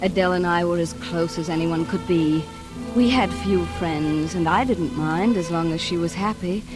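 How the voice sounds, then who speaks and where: A woman's voice: on the low side, moderate pace, lightly acted, poor audio. An actress in a 1940s radio drama playing a haunted, wistful woman, dreamy and hesitant as she confides her memories. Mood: wistful, recounting. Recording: vintage radio recording with music behind.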